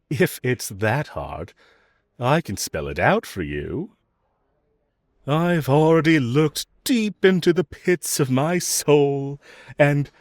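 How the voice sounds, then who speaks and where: A man playing a smug, flirtatious villain in an audio roleplay, teasing and coaxing the listener with self-assured, drawn-out phrasing. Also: on the low side, no room sound, full character voice.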